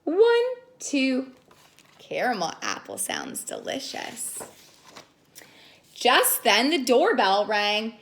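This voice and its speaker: A woman reading stories aloud to young children in an expressive, sing-song way, prompting them as she goes. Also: on the high side, slow pace, creaky, long pauses, a little hiss or hum. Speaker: children's story reader and caregiver.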